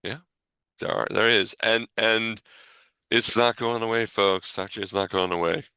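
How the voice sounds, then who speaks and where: A man hosts a casual podcast in a bright, forceful voice. The sound is close and dry. He talks in a reassuring, informal way and tends to repeat himself to make a point. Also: fairly low pitch, fairly even intonation, moderate pace, clean tone, no room sound. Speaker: podcast host. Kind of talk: casual podcast chat and sign-off.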